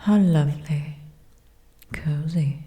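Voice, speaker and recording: Giantess princess for fantasy ASMR, played by a woman: dark mellow tone, leisurely pace, formal and gently weary. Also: low voice, takes it slowly. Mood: drowsy, hushed. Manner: formal, genteel, old-fashioned diction.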